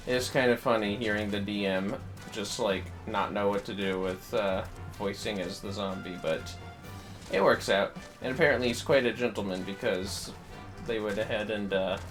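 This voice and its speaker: A man hosting a livestream, chatting casually and thinking aloud in a rambling, halting way as he plays a game. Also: fairly low pitch, fairly even intonation. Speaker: livestream host. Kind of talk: casual chat while playing a game. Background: music.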